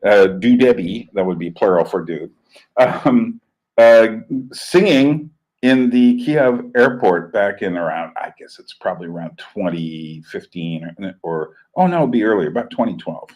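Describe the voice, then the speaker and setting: Host, male, American-raised, lowish voice, loose chatty delivery full of ums and self-corrections. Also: lively intonation, unhurried, a little mellow, rough recording. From United States. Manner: rambling, chuckling, with many fillers and restarts. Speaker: channel host. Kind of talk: casual live-stream talk.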